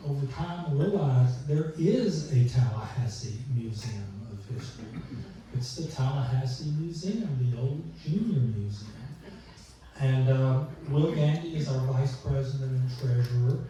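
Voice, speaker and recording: A man's voice: medium pitch, warm tone. A man introducing speakers at a society meeting, informal and hesitant with many restarts. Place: meeting room with audience. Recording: echoey room, far from mic, faint background noise.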